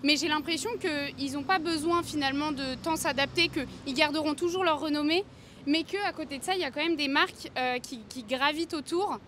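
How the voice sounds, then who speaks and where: A woman's voice: sits high, fairly quick, a bit bright. A woman in her twenties sharing her opinion casually in a street interview. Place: outdoors on a city street. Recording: faint urban street noise.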